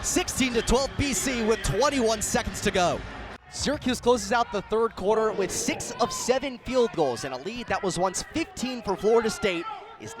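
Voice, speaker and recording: An American man doing live radio sports play-by-play in emphatic, clipped phrases. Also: high voice. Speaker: play-by-play commentator.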